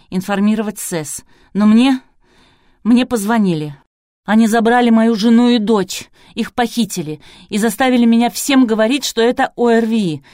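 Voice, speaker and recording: A terrified middle-aged man of medicine, acted out by a woman narrator in an audiobook. He is broken and pleading, speaking in tearful, halting phrases, with a close, dry sound. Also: medium pitch, warm tone, no echo, lightly acted.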